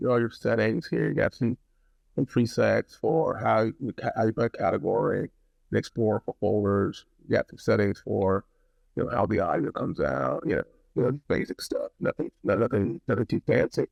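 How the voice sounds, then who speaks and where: A man's voice: fairly low pitch, moderate pace, creaky, darkish tone, no room sound, highs cut off. A man giving a casual, conversational hands-on product review, often repeating phrases for emphasis.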